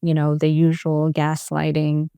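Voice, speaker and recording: A woman, a former psychiatric nurse telling her story as a guest in a thoughtful, soft-spoken way. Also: low voice, completely flat delivery, unhurried, vocal fry, clear, resonant tone, dry recording. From Ethiopia. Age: 40s. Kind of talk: personal storytelling in an interview.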